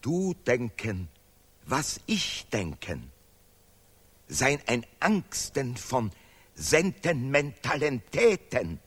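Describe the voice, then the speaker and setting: An actor playing a pompous, sentimental patriotic scholar in an absurdist radio play, declaiming haltingly in broken grammar. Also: male voice, mid-range voice, very animated, a bit bright, slightly rough, full character voice. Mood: solemn. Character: pompous male Nobel laureate scholar, bombastic, sentimental patriot.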